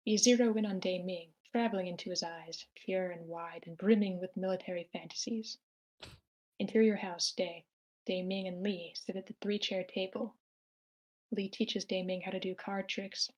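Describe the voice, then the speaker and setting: A woman's voice: medium pitch, fairly even intonation, normal speed, darkish tone, poor audio. A woman reading out scripted stage directions as the narrator at a screenplay table read.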